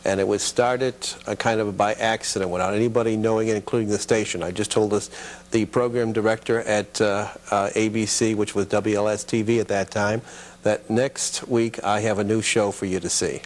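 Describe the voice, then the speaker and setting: A man's voice: fairly low pitch, flat delivery, normal speed. A mature American man reminiscing casually as an interview guest in a television studio. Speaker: guest, former children's TV producer and puppeteer.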